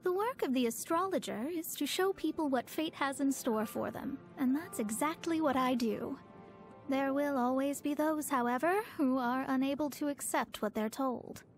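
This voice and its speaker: A woman's voice: high voice, moderate pace, faint music behind. A woman voicing a proud young female astrologer in a video game, speaking formally and pompously with theatrical delivery. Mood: grave, measured. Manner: formal, pompous, precise wording. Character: proud young woman astrologer, haughty, scholarly, easily flustered.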